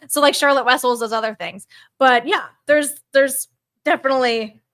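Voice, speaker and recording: A woman guest musician chatting casually, excitable and gushing, full of "like" and "oh my gosh". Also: high voice, moderate pace, no echo, studio quality. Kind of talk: casual chat.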